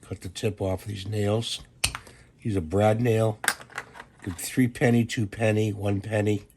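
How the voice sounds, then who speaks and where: Deep hoarse guy with a cold showing a diy trick, slow and easy, bit of hiss behind him. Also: flat delivery. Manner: plain, unhurried instructions with fillers. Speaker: DIY channel host. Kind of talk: casual how-to demonstration.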